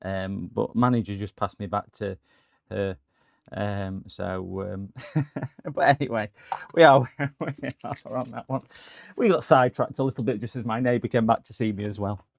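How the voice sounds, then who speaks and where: A man's voice: lowish voice, normal speed, creaky, no room sound, studio quality. A British man chatting casually on a repair livestream, rambling in a jokey, mock-indignant manner. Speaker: streamer doing electronics repairs. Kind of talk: casual livestream chat and prank phone call.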